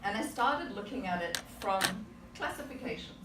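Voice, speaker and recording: A woman's voice: mid-range voice, animated, moderate pace. A woman in her forties from South Africa gives a prepared, reflective speech full of rhetorical questions to a club audience. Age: 40s. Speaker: club speaker. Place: meeting room with audience. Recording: echoey room, distant mic, background noise.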